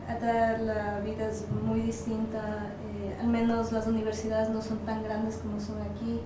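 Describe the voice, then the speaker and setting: A young woman from Ecuador with an Ecuadorian accent, answering interview questions informally. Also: on the high side. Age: young adult. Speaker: interviewee, university student. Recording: very echoey room, distant mic, noisy.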